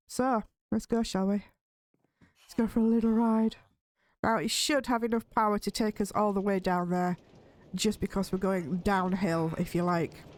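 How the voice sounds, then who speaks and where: Slightly hoarse woman, chatty gaming commentary. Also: medium pitch, no room sound. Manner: chatty and cheerful, thinking aloud. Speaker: gaming video host. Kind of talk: casual let's-play commentary.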